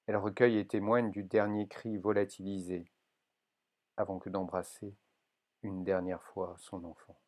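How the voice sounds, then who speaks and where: A man giving a solemn poetry reading, with measured and deliberate phrasing. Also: low-pitched, level tone, a little mellow. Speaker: poetry reader.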